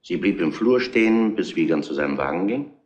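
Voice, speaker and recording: An actor playing a gruff, domineering police inspector who deliberately lays out a case in a retro crime radio play. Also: male voice, low-pitched, animated, a little mellow, roomy sound, dull audio. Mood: grave.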